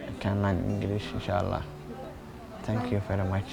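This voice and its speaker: Man from somalia, lowish voice, halting simple words. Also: monotone, vocal fry, loud music behind the voice. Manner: slow, halting, simple phrasing. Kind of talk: interview in halting English.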